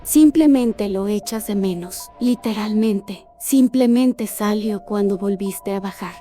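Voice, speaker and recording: A woman's voice: medium pitch, takes it slowly, mellow, faint music behind, natural voice. A woman playing an earnest, puzzled friend who speaks plainly and insistently. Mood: earnest, defensive.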